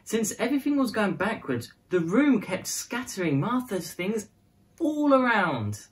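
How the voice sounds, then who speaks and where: Woman storyteller with highly animated, swooping intonation. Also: a little slow. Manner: expressive, dramatized phrasing for children. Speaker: storyteller reading a children's picture book. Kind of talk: scripted storybook narration in prose and verse.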